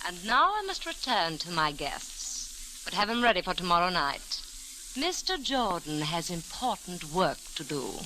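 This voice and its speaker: An actress playing an alluring, commanding ringleader of foreign intrigue in old radio drama, poised and formal. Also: female voice, on the low side, very animated, a little slow. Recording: hissy old-time radio recording.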